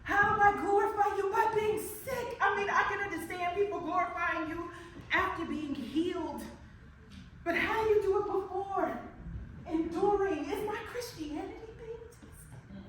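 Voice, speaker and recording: A woman's voice: high-pitched, expressive, moderate pace, long pauses. An actress playing a devout woman facing breast cancer, talking to herself in a questioning, praying way. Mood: anxious, prayerful. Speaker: woman awaiting a cancer consult. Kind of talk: inner-monologue acting. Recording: very echoey, distant, noisy.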